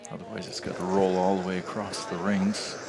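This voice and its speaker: Deep voiced guy on curling commentary, really lively calls, arena crowd in the background. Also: fairly quick. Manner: animated play-by-play calls. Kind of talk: live sports commentary. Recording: arena crowd noise behind.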